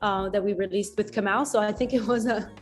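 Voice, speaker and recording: A woman's voice: mid-range voice, fairly even intonation, a little fast, faint music behind. A woman, a musician answering interview questions in a reflective way, laughing easily and often restarting her sentences. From France. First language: French. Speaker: musician guest.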